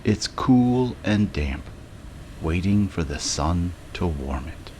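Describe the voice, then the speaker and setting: Male meditation narrator, low register, very unhurried scripted delivery with long pauses. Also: lively intonation, dark tone, slight background noise. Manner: slow, measured, with long pauses.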